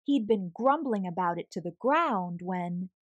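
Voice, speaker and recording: A woman narrates a children's picture book with a lively, swooping delivery. She takes her time, pausing between phrases. Her voice sits a little high and is slightly husky. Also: no echo, highs cut off. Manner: expressive, deliberate, with pauses between phrases. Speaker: storybook reader. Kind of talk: scripted read-aloud of a children's picture book.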